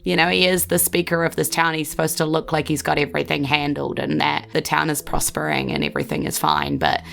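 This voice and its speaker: A woman's voice: deep voice, flat delivery, fairly quick, creaky, a bit bright. A woman casually explaining and narrating as game master of a tabletop game.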